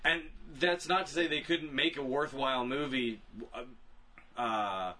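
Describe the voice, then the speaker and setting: A man with a bright, forceful voice and a middling pitch talks as a podcast co-host. His delivery is casual but emphatic and opinionated, and he restates phrases for stress. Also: steady tone, a little slow. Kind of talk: casual opinionated discussion of films and games.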